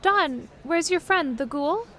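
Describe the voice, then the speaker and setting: A woman playing a brisk, easygoing caravan leader in a fan audio drama, folksy and businesslike. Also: extremely high pitch, lively intonation, a bit bright, exaggerated voice.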